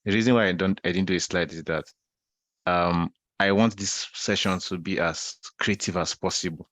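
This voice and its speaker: A man's voice: sits low, steady tone, moderate pace, fairly bright tone, no echo. A young Nigerian man in his twenties giving an online talk, telling a personal story in a conversational way, often repeating and restarting his phrases. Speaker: guest speaker. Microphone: video call.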